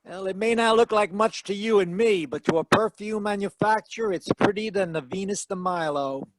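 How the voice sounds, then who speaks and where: An actor playing a wisecracking hard-boiled private detective in a 1940s radio style, with a wry, glib manner. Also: male voice, high-pitched, moderate pace, a little hiss or hum, close to an everyday voice, low-quality recording.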